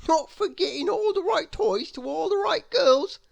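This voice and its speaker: Man putting on a high, chirpy, chatty snowman. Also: lively intonation, moderate pace, vocal fry, full character voice. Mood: cheerful. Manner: rambling, chirpy, talkative. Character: chatty, eager, friendly male snowman come to life. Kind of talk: children's storybook read-aloud.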